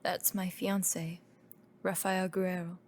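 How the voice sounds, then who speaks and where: An actress playing a grief-stricken young fiancée in a screen drama, shaken but insistent. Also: female voice, fairly low pitch, flat delivery, measured pace. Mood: shaken, resolute. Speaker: lead actress. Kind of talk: naturalistic screen drama acting.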